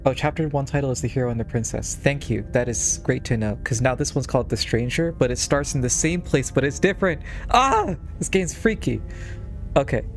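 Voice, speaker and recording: A man's voice: mid-range voice, animated, a little fast. A man streaming a game with casual, reactive commentary, musing and thinking aloud as he plays. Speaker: streamer playing a visual novel. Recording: loud game music behind.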